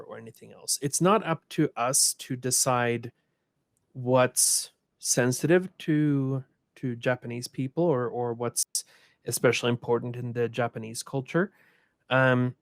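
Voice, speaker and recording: A man's voice: mid-range voice, vocal fry, no room sound, clean studio sound. A man from Sweden moderating a conference panel, speaking thoughtfully with many restarts and repeated words.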